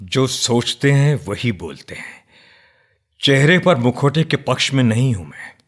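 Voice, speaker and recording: An actor in a radio play playing an earnest, outspoken nationalist man who debates firmly but respectfully, in deliberate, declamatory phrases. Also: mid-range voice, very animated. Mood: respectful, candid.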